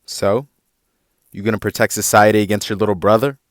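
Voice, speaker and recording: An actor playing a defiant, streetwise young activist in an audio drama, with a sardonic, informal way of talking. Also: male voice, lowish voice, level tone, a little fast, dry recording, clean studio sound, close to an everyday voice. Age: young adult.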